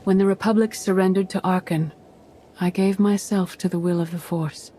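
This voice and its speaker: A woman voicing a solemn, weary female Jedi master in a video game, speaking in a measured, grave, cryptic way. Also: mid-range voice, steady tone, warm tone, slight background noise, close to an everyday voice. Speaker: video game character voice actor. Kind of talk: scripted dramatic game dialogue.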